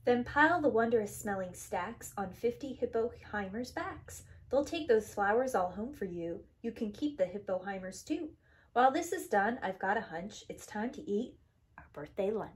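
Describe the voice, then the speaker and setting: A woman's voice: medium pitch, animated, moderate pace. A woman reading a rhyming children's story aloud in an emphatic, theatrical preschool-teacher manner. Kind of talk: expressive children's storybook read-aloud in rhyme.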